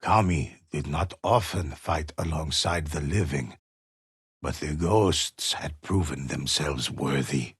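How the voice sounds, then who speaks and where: A grave old man narrates ghost legends for a video game. His voice is deep and husky, and he takes his time over every phrase with slow, ominous solemnity. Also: dry recording, clean studio sound, heavily acted. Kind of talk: dramatic scripted storytelling for a video game.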